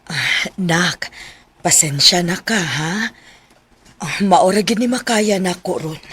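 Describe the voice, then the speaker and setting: A woman playing an apologetic, struggling middle-aged mother in a radio drama, halting and husky. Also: lowish voice, a bit bright, soft background music, exaggerated voice. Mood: apologetic, tearful.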